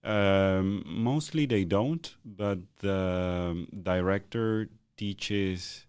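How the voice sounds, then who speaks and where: Deep booming man, thoughtful and halting. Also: darkish tone, clear, resonant tone, dry recording. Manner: thoughtful, halting with repeated starts. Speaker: podcast co-host. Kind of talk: reflective conversational chat.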